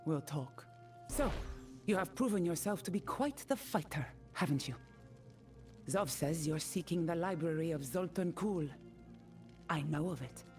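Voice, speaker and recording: Low-voiced, cold desert chieftain. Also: female voice, darkish tone. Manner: stern, curt, imperious. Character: cold, proud, commanding female desert mercenary leader. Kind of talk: video game character dialogue.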